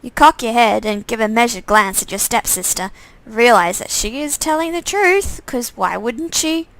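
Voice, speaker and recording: Creaky high-voiced woman reading a game aloud. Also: lively intonation, moderate pace, a bit bright, no room sound, rough recording. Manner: stumbles, re-reads lines, comments to herself. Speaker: streamer reading a visual novel aloud. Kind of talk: live game read-aloud with asides.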